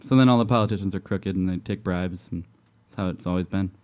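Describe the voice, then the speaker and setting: A man's voice: low voice, quick pace, darkish tone. A man co-hosting a radio show, joking and wisecracking in casual banter.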